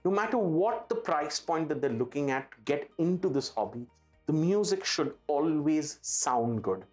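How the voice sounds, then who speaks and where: Man pitching a product over music. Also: animated, moderate pace, a little mellow. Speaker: company presenter of a product video. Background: background music.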